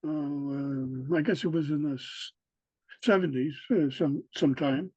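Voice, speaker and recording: Older New man, halting speech. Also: fairly high pitch, steady tone, darkish tone, dry recording. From New York City. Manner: halting, many fillers and restarts. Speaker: interviewee, local historian. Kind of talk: casual recorded interview. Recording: dry, dull lo-fi sound.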